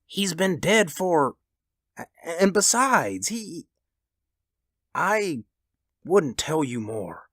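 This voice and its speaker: A man's voice: high voice, big pitch swings, slow, few pauses, bright, forceful tone, no echo, studio quality, voice pushed up, full character voice. A man voicing a fearful old husband in a storybook reading, with an unsteady, stammering delivery. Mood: shaken, unsteady.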